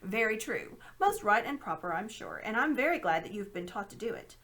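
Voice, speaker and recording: A woman acts out a self-important old gentleman from a storybook. He talks down to his listener in grand, pompous phrases, with a very animated rise and fall in pitch. His temper is smug and scheming. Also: fairly quick. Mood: lofty, condescending. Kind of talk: storybook read-aloud character voice.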